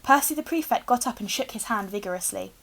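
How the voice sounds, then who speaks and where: A young woman reads a storybook aloud in an expressive narrating style. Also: medium pitch, fast talker, vocal fry. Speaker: reader, teacher. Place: small room indoors with bookshelves.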